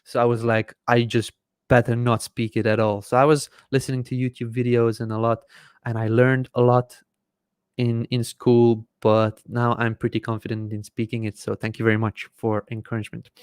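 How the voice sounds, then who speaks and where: A man in his thirties whose first language is Hungarian, hosting a live Q&A and explaining software step by step from a desk microphone in a small room. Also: medium pitch, level tone, normal speed, clear, resonant tone, dry recording, clean studio sound. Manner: explains step by step while clicking through software. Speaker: host answering viewer questions. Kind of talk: live Q&A with screen-share demonstration. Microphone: desk microphone in front of face.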